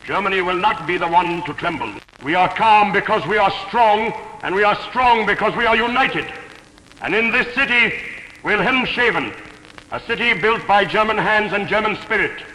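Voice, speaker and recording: A man delivering formal political oratory in a solemn, declamatory manner with deliberate rhetorical pauses. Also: high-pitched, expressive, pushed and bright. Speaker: orator reading a translated historical political speech. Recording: echoey large hall, faint noise.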